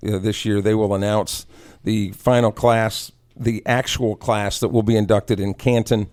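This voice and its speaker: A man co-hosting a sports radio show, chatting casually and giving his opinions in deliberate phrases. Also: on the low side, fairly even intonation, a little mellow.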